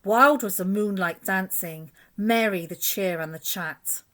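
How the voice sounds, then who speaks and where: A woman reading a rhyming children's picture book aloud as an expressive storyteller. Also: medium pitch, measured pace.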